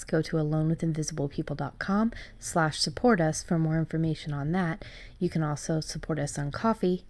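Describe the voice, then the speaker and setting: A woman's voice: sits low, darkish tone. A woman hosting a podcast, casually giving listeners information in a conversational style. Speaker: podcast host.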